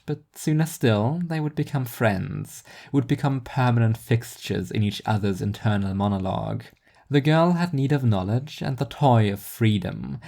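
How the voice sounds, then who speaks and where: A woman reading scripted literary fiction as a narrator, in a measured, wry way. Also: rumbling low, creaky, dark tone.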